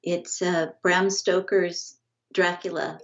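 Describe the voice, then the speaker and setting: Low-voiced woman on a muffled video call. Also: level tone, gravelly. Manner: halting, many restarts and fillers. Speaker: guest, family estate representative. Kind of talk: casual interview over video call. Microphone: video call, earbuds. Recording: dull lo-fi video call audio.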